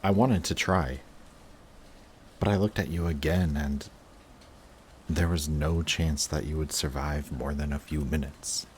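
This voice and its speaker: A man playing an emotional villain confessing to his longtime enemy in a dramatic audio roleplay, intense, halting and defensive. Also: very deep voice, fairly even intonation, slight background noise. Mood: pained, confessing.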